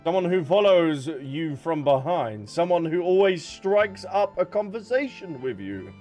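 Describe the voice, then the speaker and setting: A man's voice: a little high, sing-song, unhurried, darkish tone. Chatty man streaming a game. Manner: joking, chatty, reactive. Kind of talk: casual game stream commentary. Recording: faint game music behind the voice.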